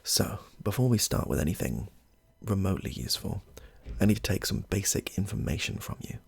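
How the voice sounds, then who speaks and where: A man acts out a courteous, slightly odd British therapist in an ASMR roleplay. The voice is deep and creaky, with a lot of melodic movement. He speaks gently and reassuringly, murmuring small approvals to the client. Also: expressive, brisk, exaggerated voice. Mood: welcoming, reassuring. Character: polite, chatty, slightly odd male therapist greeting a client. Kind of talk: soft-spoken ASMR roleplay.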